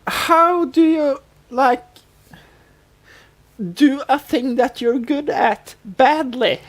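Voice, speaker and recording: A woman co-hosting a podcast, chatting informally about a TV show and explaining things in a halting, conversational way. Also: sits high. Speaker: podcast co-host. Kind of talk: casual chat about a TV show.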